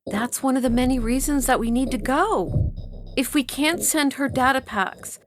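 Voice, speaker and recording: A woman's voice: high-pitched, moderate pace, over music, natural voice. A woman acting an anguished, worried mother in an audio science fiction story. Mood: resolute. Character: anguished, worried mother of a time-separated daughter. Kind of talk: audio fiction dramatic reading.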